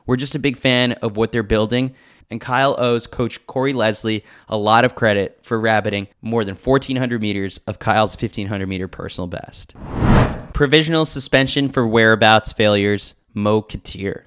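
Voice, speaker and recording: A man's voice: fairly low pitch, normal speed, fairly bright tone, clear, resonant tone. A man reading a scripted sports newsletter as a podcast host, with wry, opinionated commentary.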